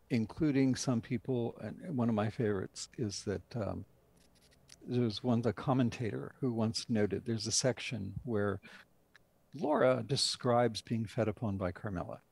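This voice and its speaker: A man's voice: mid-range voice, creaky, darkish tone. An older man speaking as a writer and director in an interview over a group video call. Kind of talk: interview discussion of a radio drama.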